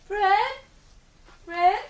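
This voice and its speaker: A high, fluttery anxious lady. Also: extremely slow, exaggerated voice. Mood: anxious, searching. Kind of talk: radio mystery drama acting.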